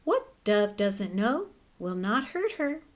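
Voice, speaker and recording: A woman's voice: medium pitch, sing-song, slow pace. A woman voicing a greedy, selfish hen in a storybook, speaking in a self-satisfied, boastful way. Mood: scheming.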